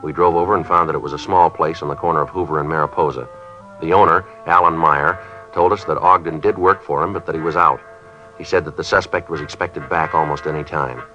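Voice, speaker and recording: An actor playing a businesslike American police detective, terse and matter-of-fact in both procedural narration and questioning. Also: male voice, very deep voice, steady tone, brisk, pushed and bright, faint music behind. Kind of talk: clipped procedural narration and dialogue. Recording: dull vintage broadcast.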